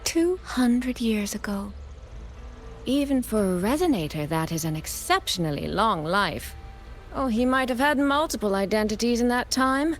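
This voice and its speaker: A woman's voice: a little high, animated, normal speed, close to an everyday voice. A woman acting a poised, teasing elder sister. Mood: serious, measured. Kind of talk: video game voice acting. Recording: music behind the voice.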